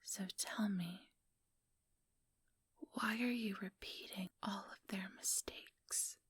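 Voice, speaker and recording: Woman playing a whispering, slow-spoken condescending vampire elder. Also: medium pitch, steady tone, no room sound, studio quality. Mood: taunting, probing. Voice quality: breathy whisper. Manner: slow, deliberate, patronizing. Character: ambitious, condescending female vampire elder, coolly menacing. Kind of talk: whispered ASMR roleplay acting.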